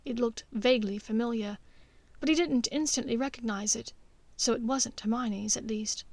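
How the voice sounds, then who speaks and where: A woman reading scripted fiction as an audiobook narrator, in a measured, expressive storytelling manner. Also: on the high side, no room sound.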